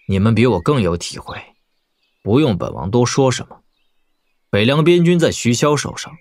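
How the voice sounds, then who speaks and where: Commanding young prince, expressive swings. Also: male voice, medium pitch, no room sound, studio quality. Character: young prince and frontier warlord, calm, commanding. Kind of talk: audio drama acting.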